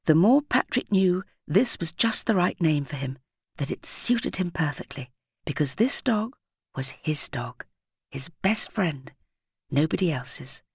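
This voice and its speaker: A woman narrating a children's audiobook expressively, with deliberate, dramatic phrasing and emphasis on key words. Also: lowish voice, gravelly, a little mellow, husky, no echo, studio quality.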